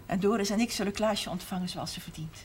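An actress playing a middle-aged, emotional but steadfast mother in a 1970s TV drama, speaking in measured, earnest phrases. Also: medium pitch, over a noisy crowd, lightly acted. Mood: calm, resolute. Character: steadfast, emotional mother of a large Limburg family. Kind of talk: 1970s television drama acting. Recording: noisy old TV soundtrack.

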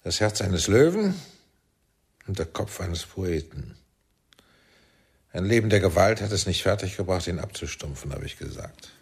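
An actor playing a commanding, sardonic older intelligence chief in a radio drama, probing and deliberate. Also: male voice, sits low, big pitch swings, vocal fry, breathy edge, full character voice. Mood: paternal, sly. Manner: deliberate, probing, swinging from soft to barking. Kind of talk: German radio drama acting.

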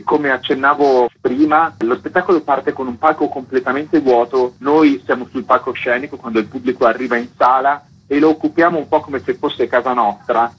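Man, theatre-maker interviewee, husky mid-pitched voice, explanatory delivery, dull lo-fi recording. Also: fairly bright tone. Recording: dull, muffled radio interview sound.

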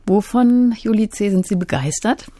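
A woman hosting a radio interview, putting prepared questions in a clear, courteous manner. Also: mid-range voice, lively intonation, moderate pace, mellow, dry recording.

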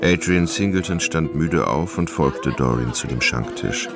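A man narrating a dark literary radio drama as a grave, measured storyteller. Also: extremely low pitch, expressive, exaggerated voice. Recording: faint music behind the voice.

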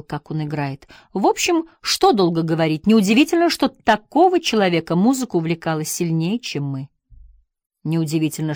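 A woman's voice: medium pitch, darkish tone, no echo, studio quality, natural voice. A woman reading as impassioned Miss Wurtz. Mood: wistful. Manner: impassioned, by turns wistful and scolding. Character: passionate middle-aged woman, former teacher, fond and scornful.

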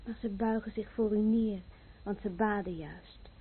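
A woman's voice: mid-range voice, fairly even intonation, normal speed, slightly rough. An actress playing a grave woman survivor in a radio play, solemnly prophesying doom in an incantatory, declamatory way. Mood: grave, pleading. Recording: muffled, tinny old radio play recording.

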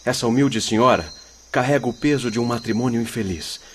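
An actor playing a kindly, wise expedition leader in a radio drama, speaking in a formal, instructive way. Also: male voice, mid-range voice, brisk, close to an everyday voice. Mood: earnest, explaining. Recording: faint noise with night crickets.

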